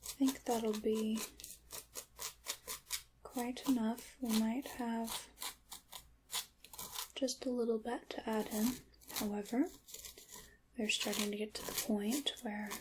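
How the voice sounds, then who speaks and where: A woman plays a gentle witch in an ASMR roleplay, speaking in a soft, whispery voice that sits fairly high. Her delivery is very unhurried, with slightly formal phrasing. There is clear noise behind her, along with clicking sounds. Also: level tone. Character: gentle witch guiding a salt-making ritual. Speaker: ASMR performer.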